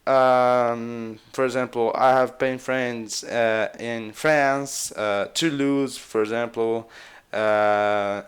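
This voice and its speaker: A man's voice: medium pitch, steady tone, slow, smooth. A man from Brazil with a Brazilian Portuguese accent, a native Portuguese speaker giving casual advice on learning languages, hesitant and full of filler sounds. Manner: hesitant, many filler uhs, repeats himself. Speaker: language vlogger.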